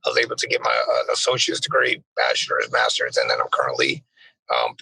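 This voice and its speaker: A man in his thirties with a raspy, worn voice, telling his background in a halting, casual way with many restarts. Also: a bass voice, big pitch swings, low-quality recording. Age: 30s. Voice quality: raspy, strained from drill yelling. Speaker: guest. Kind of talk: casual interview chat.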